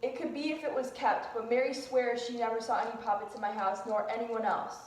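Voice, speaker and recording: A woman's voice: on the high side, steady tone, brisk, a little hiss or hum, close to an everyday voice. A woman playing a defiant husband who argues sardonically for his accused wife in an amateur puppet-show drama. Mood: argumentative. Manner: argumentative, sardonic. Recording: echoey room.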